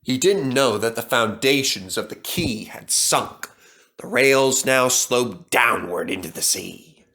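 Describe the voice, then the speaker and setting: A man's voice: medium pitch, expressive, slow, sharp tone. A man narrating a children's storybook as a scripted read-aloud.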